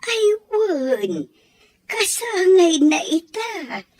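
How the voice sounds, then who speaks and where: A woman playing a gentle, concerned elderly mother and grandmother in an audio story drama, with a husky, breathy voice. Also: very high voice, very animated, very slow, heavily acted. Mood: fond, amused.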